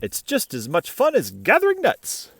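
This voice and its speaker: A man reading as a cheerful lady squirrel who teaches school in a storybook. The voice is lively and very sing-song, gentle and encouraging, recorded close and dry. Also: high-pitched, moderate pace, vocal fry, husky, no echo, voice pushed up, full character voice. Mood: delighted.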